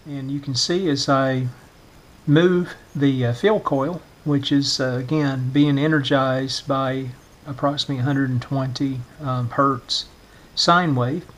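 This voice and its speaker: A middle-aged or older man narrating a hands-on demonstration in a measured, explanatory way, often pausing mid-phrase. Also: medium pitch, steady tone, fairly bright tone. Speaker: vintage radio restorer giving a demonstration.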